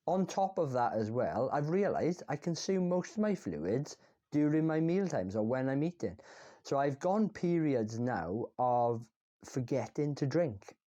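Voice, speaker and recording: A man's voice: mid-range voice, sing-song, a little slow, mellow, muffled recording. Candid man with a vlog diary. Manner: reflective, candid, a little self-deprecating. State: congested from a cold. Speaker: vlogger. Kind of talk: mix of scripted voice-over narration and casual diary-style talk.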